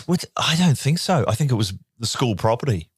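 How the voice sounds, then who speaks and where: A man, co-hosting a podcast and telling comic stories in an animated way, slipping into voices. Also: mid-range voice, fairly quick, husky, no echo. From New Zealand. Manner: animated storyteller who slips into voices. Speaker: podcast co-host. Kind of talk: casual chat, comic anecdotes.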